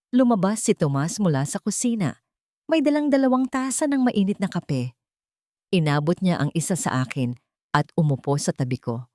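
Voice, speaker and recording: A woman's voice: low voice, a little mellow, no room sound, studio quality. A woman reading a scripted first-person dramatic story as an audio narrator.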